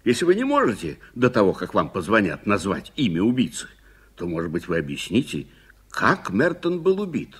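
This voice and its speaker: Casting: hot-tempered, insistent gentleman for vintage radio mystery, lowish husky voice, wildly swinging intonation. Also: huge pitch swings, normal speed, heavily acted. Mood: impatient. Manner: insistent, exclamatory questioning. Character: impatient, hot-tempered gentleman pressing a detective for answers. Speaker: actor in a radio play. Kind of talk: 1980s Soviet radio drama acting.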